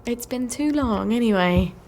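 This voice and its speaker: An actress playing a young single mother in a video game, sharp-tongued and emotional, guarded yet desperate. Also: fairly high pitch, animated, moderate pace, a little hiss or hum. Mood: light, teasing. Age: young adult. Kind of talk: dramatic video game acting. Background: clear background music.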